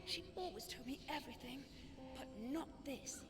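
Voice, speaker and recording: A woman playing a guilt-stricken young servant girl in a video game, confessing haltingly. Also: high-pitched, lightly acted. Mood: sorrowful confession. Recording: loud music behind the voice.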